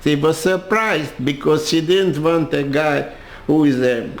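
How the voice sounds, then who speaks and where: An older man with a Hungarian accent tells his story very slowly, his voice sitting a bit high for a man. He stops and restarts his phrases again and again. The room around him is echoey. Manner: halting, with many restarts and repeated words. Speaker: interviewee. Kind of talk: personal storytelling.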